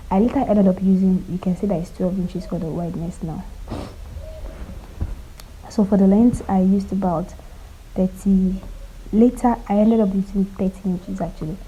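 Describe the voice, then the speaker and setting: Woman sewing tutor, very dark mellow voice, has a cold, quiet and chatty. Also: dull audio, slight background noise. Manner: quiet, low-volume delivery; chatty, checks that viewers follow along. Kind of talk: casual step-by-step sewing tutorial.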